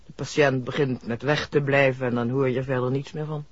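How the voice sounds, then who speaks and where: A reserved, mysterious lady doctor in an old radio drama. Her voice is husky, her delivery formal and deliberate. The recording is close and dry, with a dulled vintage tone. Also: extremely low pitch, fairly even intonation, no echo, lo-fi sound. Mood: guarded, reflective. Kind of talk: 1960s Dutch radio play acting.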